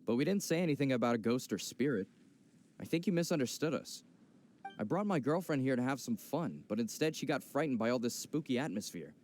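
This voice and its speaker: Man as a protective, animated young boyfriend. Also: medium pitch, brisk, slight background noise, close to an everyday voice. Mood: skeptical, explaining. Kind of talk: video game voice acting. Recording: game audio with background music.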